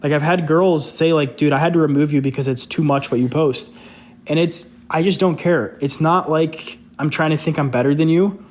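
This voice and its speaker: Young man, slightly high voice, rambling live-stream chat, candid, lots of "like". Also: moderate pace, darkish tone. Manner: loose and candid, heavy use of "like". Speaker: social media creator hosting a live stream.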